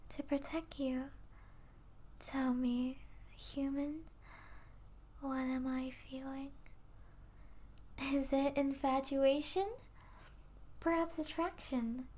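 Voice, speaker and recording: A high-voiced vampire princess, played by a woman, lingers very slowly over her words. She is regal and possessive, yet soft and doting, slipping into breathy asides as if in an intimate ASMR roleplay. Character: possessive yet tender vampire princess, mistress of a human pet.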